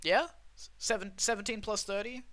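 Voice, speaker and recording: A man's voice: high voice, steady tone, normal speed, fairly bright tone, no echo. A young Australian man streaming, chatting casually with his viewers in a rambling, self-interrupting way. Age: young adult. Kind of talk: casual livestream chat reading chat.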